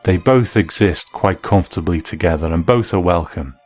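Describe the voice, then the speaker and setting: A man's voice: sits low, normal speed, darkish tone, soft background music. A man presenting a scripted review in a conversational, explanatory style.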